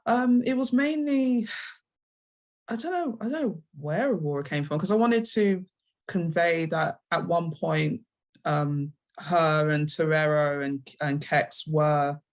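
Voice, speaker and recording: A woman's voice: low-pitched, slow pace. Hesitant woman thinking aloud in an interview. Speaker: comic writer, interviewee. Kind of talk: casual interview answers.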